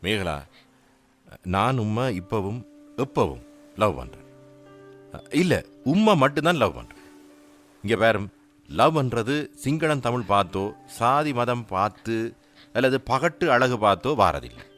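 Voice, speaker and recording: An actor playing a gentle young husband with a Sri Lankan Tamil accent, earnestly reassuring his wife. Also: medium pitch, very animated, unhurried, pauses often, soft background music. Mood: tender. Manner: soothing, earnest explaining. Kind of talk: radio drama acting.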